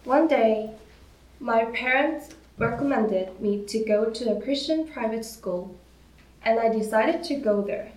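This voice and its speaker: A woman's voice: a little high, measured pace, a little mellow. A young woman from Korea, reading her personal faith story to her church in an earnest, careful way. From South Korea. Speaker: church member sharing testimony. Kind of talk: read personal testimony. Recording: roomy, distant from the mic.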